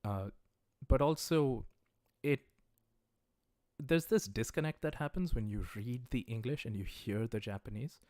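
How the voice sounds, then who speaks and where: A man teaching a livestreamed lesson in a relaxed, conversational way, with many "uh" and "um" fillers, encouraging viewers as he recommends study resources. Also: medium pitch, a little slow, no echo, clean studio sound. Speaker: language teacher.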